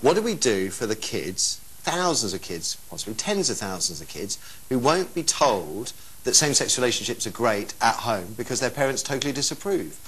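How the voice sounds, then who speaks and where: A man's voice: medium pitch, big pitch swings, normal speed, a bit bright, husky, poor audio. A man hosting a live phone-in discussion, speaking informally and emphatically and putting questions to callers. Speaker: phone-in programme host.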